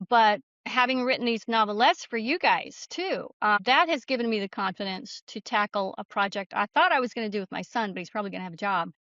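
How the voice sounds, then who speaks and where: A woman's voice: medium pitch, a little fast, few pauses, fairly bright tone, no room sound. A middle-aged woman giving an interview as a guest, telling her story in an earnest, candid, reflective way, on slightly lo-fi audio. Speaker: guest author and screenwriter.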